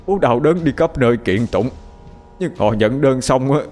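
A man's voice: medium pitch, sing-song, fast, darkish tone, soft background music, natural voice. A man voicing a grieving old father who cries out in anguished pleas.

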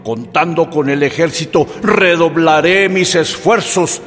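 A man's voice: on the high side, a little slow, a bit bright. A defiant political leader in a radio drama, declaiming. His stern words ring with long hall reverb. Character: stern, defiant male government leader giving a speech.

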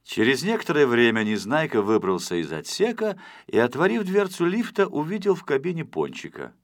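A man narrating a children's story from a script as an expressive, dramatic storyteller. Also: mid-range voice, fairly quick, dry recording, studio quality.

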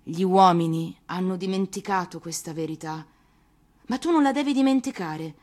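A woman voicing a gentle, earnest female fox who explains things patiently in an expressive storybook manner. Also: lowish voice, normal speed, close to an everyday voice. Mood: tender, solemn.

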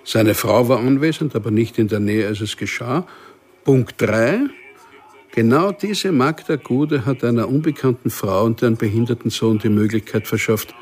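An actor playing an unremarkable, quietly dangerous civil servant in a radio crime drama, speaking methodically with weighty pauses. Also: male voice, mid-range voice, slightly rough, natural voice. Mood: dry, methodical. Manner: deliberate, enumerating, with weighty pauses.

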